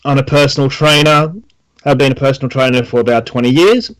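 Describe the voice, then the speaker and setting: A man's voice: mid-range voice, fairly even intonation, fairly quick. A middle-aged man hosting a podcast interview, speaking earnestly and often restarting his sentences. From Australia. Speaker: podcast host, personal trainer.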